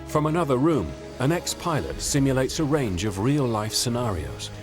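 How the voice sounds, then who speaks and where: A man reading scripted documentary narration in a clear, measured way. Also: mid-range voice, big pitch swings, over music.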